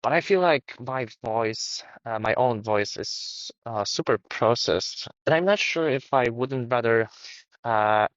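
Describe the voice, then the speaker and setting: A man's voice: medium pitch, a little slow, a bit bright, no echo. Muffled, heavily processed man on earbuds. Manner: hesitant, casual, rambling. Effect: heavy noise-cancelling processing. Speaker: tech reviewer. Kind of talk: unscripted microphone test. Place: outdoors by a busy street. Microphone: earbud microphones.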